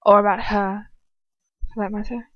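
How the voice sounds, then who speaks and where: A woman's voice: mid-range voice, flat delivery, normal speed, dry recording, muffled recording, close to an everyday voice. A woman voicing a malicious, aristocratic male villain with a contemptuous drawl while reading a story aloud.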